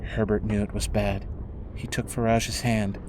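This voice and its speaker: A man narrating a scripted dramatic story in a measured, solemn way, with music behind him. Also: on the low side, flat delivery, background noise. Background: prominent music.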